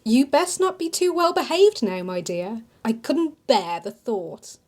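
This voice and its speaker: A woman's voice: fairly high pitch, animated, unhurried, darkish tone. A woman voicing an affectionate older woman shopkeeper who calls everyone dear, in a storybook reading. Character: motherly older woman shopkeeper, fond and fussing.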